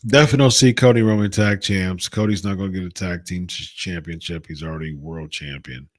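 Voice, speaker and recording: A man's voice: low voice, no room sound, studio quality. A man from the United States hosting a wrestling talk show, reading chat comments and repeating his points in an exasperated, emphatic way. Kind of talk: casual live-chat commentary.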